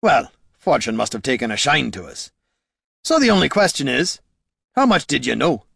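Man doing a tough dwarf, shrewd, folksy, fierce, gravelly, animated, fantasy audiobook. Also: medium pitch, normal speed, dry recording, studio quality, pitched above the performer's own voice, full character voice. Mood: suspicious. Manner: folksy, rough grammar. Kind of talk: audiobook character dialogue.

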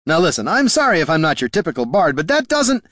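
A man's voice: mid-range voice, very animated, normal speed, a bit bright, no echo, clean studio sound, voice pushed up. A man voicing a sly, wordy bard and reformed thief in a fantasy audiobook, slick, theatrical and self-justifying. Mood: indignant.

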